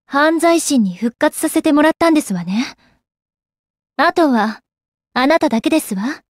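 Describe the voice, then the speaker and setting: Elegant, high-voiced young goddess lady. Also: expressive, moderate pace, no room sound, studio quality. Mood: firm. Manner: polite, ladylike, genteel phrasing. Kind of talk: Japanese anime game voice acting.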